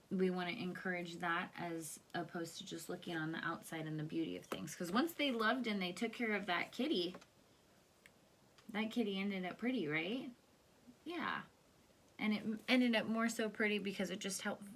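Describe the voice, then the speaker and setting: A woman's voice: on the low side, fairly bright tone. A woman reading a picture book aloud to children, instructive and often checking in with questions. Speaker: read-aloud storyteller. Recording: home recording with faint hiss.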